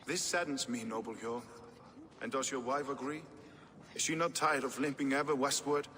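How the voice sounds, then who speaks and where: An actor playing a proud, ambitious Norse warrior king in a video game, speaking in formal, measured oratory. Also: mid-range voice, a bit bright, close to an everyday voice. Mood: regretful, gracious. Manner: grandiose, measured, commanding speech. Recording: reverberant hall with faint background noise.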